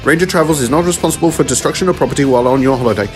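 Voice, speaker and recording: A man's voice: mid-range voice, racing, faint music behind, natural voice. A man playing an upbeat, salesy travel announcer in a parody radio advert.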